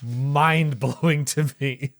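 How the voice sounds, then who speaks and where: A man's voice: medium pitch, fairly even intonation, slow pace, no room sound. Dry-sounding male podcast host, teasing. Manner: teasing, curious, conversational. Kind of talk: casual movie review chat. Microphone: podcast mic.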